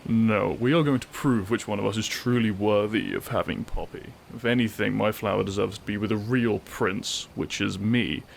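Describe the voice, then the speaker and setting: An actor playing a brash, possessive warrior prince in a romantic roleplay audio drama, gruff, boastful and taunting. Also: male voice, on the low side, moderate pace, hardly any pauses, slight background noise. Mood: combative. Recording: music under the voice.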